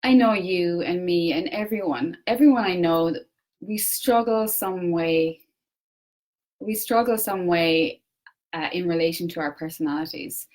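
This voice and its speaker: A young Irish woman with an Irish accent gives an earnest solo livestream talk, with many pauses and restarts. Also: on the low side, darkish tone. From Ireland. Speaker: personal development coach. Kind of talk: solo Facebook Live talk.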